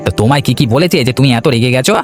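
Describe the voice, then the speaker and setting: A man's voice: mid-range voice, very fast, lightly acted. A man voicing a meek, henpecked village husband in a cartoon, sounding submissive. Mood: questioning. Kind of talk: Bengali cartoon dialogue. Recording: music under the dialogue.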